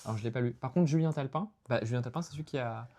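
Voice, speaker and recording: A man's voice: medium pitch, rapid-fire, background noise. A young man, a guest, chatting casually about books in a dry, teasing way.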